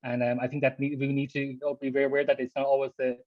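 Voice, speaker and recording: A man speaks quickly and earnestly on an online panel and restarts his phrases as he goes. His voice comes through dry and a little muffled. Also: mid-range voice, monotone, no echo. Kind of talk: panel discussion. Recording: dull, dry home audio.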